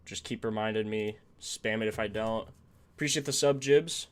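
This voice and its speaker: Slightly raspy man chatting on a stream. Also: on the low side, normal speed. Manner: chatty, thinks aloud, greets chat viewers. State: slightly raspy from a sore throat. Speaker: sports betting stream host. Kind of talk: casual live-stream chat.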